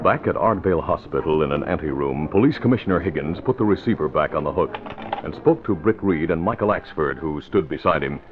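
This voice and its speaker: A man with a deep, lively voice performs as a vintage radio announcer. He reads scripted copy in 1940s broadcast style, with faint music and a narrow, lo-fi sound. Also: fairly quick. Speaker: radio drama narrator and announcer. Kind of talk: 1940s radio scripted narration and sponsor read. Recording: narrow lo-fi old radio recording.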